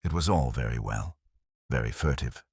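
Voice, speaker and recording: A man acts out a prim gossip, a woman telling her news in an audio mystery. She is fluttery and self-important, swooping up and down with breathy relish. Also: very deep voice, expressive, moderate pace, a little mellow, no echo, clean studio sound, exaggerated voice. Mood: conspiratorial. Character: fluttery, prim, gossipy female village busybody.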